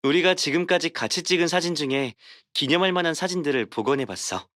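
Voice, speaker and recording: A man's voice: a little high, racing, a bit bright, no room sound, studio quality, natural voice. A man voicing a tender, devoted young sweetheart in a romance game, affectionate and intimate in manner. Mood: affectionate, playful. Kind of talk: game character voice acting.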